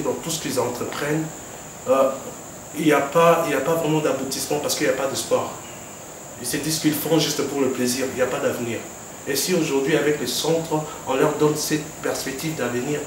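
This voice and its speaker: A man, the organizer of a project, speaking earnestly at a formal meeting with frequent fillers. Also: medium pitch. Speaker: organizer of a football academy project. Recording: very echoey room, mic far off, background noise.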